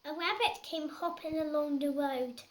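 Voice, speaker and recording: High-voiced young child reading aloud. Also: a bit bright, slight background noise. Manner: halting early reader, repeats words.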